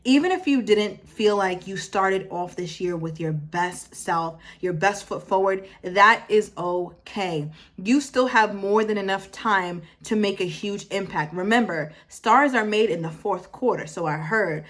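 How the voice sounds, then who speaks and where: A woman's voice: mid-range voice, measured pace, few pauses, fairly bright tone. A woman in her twenties giving a casual motivational talk to her viewers, emphatic, driving points home through repetition and stopping to correct herself. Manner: emphatic, repeats phrases for effect, self-correcting. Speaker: motivational vlogger.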